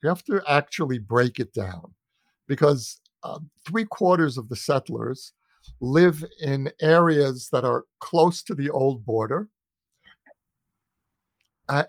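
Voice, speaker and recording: An American man in an earnest political discussion, speaking as a guest with a deliberate, emphatic manner that repeats phrases for weight. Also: a little high, no echo. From United States. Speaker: journalist and author interviewed as guest.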